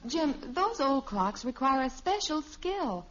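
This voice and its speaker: An actress playing a patient, gently sardonic housewife and mother in a 1950s radio sitcom. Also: high-pitched, sing-song, heavily acted. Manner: measured, wry. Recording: dull old radio recording.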